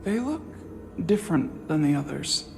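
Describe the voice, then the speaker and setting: An actress voicing a wary alien companion in a video game, hesitant and questioning. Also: female voice, low voice, very animated, moderate pace, creaky, exaggerated voice. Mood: uneasy. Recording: loud game music underneath. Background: game soundtrack.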